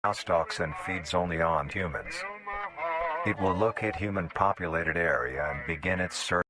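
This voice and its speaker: A man reading scripted documentary-style narration in a flat, clinical way. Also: low-pitched, moderate pace. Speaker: narrator of a horror video. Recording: narration played over music.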